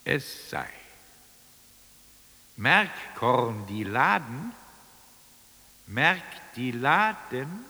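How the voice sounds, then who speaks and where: Uncanny commanding deity, radio drama: sharp, forceful, gravelly, theatrical incantation. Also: male voice, big pitch swings, full character voice. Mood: stern, commanding. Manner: slow, exclamatory, incantatory, swinging from booming to hushed. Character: commanding, uncanny divine voice from on high, stern lawgiver. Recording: long cavernous reverb.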